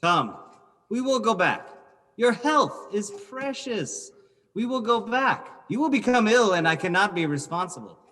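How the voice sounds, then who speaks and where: A man's voice: sits high, big pitch swings, measured pace, pushed and bright, heavily acted. A man playing a scheming nobleman who feigns concern for his friend, theatrical and coaxing, in a period stage drama. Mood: feigned concern. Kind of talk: theatrical period drama acting over video call. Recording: home video call recording with music.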